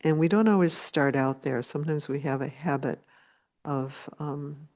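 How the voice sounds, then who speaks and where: A woman leading a guided meditation as a teacher, speaking in a gentle, unhurried way with long pauses. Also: sits low, fairly even intonation.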